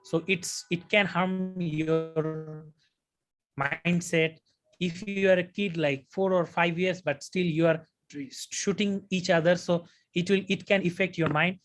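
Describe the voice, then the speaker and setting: Brief: young Bangladeshi man, exam candidate, halting, fairly high pitch. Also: fairly even intonation, fairly bright tone, faint music behind. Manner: hesitant, many fillers and restarts. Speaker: test candidate. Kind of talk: mock speaking exam answers.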